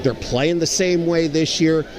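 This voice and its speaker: Male color analyst for live basketball, voice a bit high, explanatory, audible crowd in the background. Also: fairly even intonation, unhurried, over music. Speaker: color commentator. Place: booth at an indoor basketball game. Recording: crowd noise behind the commentary. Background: clearly audible crowd.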